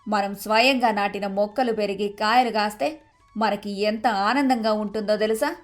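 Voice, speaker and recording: Motherly, experienced mother-in-law, acted by a woman, gentle guiding manner, medium pitch, storybook narration piece. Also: normal speed, faint music behind, close to an everyday voice. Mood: gently instructive. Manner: nurturing, instructive. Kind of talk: scripted storybook acting.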